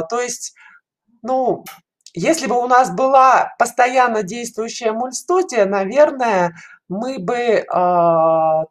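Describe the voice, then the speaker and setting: A middle-aged woman explaining things conversationally as an interview guest, halting and often rephrasing, on a headset in a video call. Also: lowish voice. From Russia. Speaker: guest, children's animation studio educator. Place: indoor room, video call.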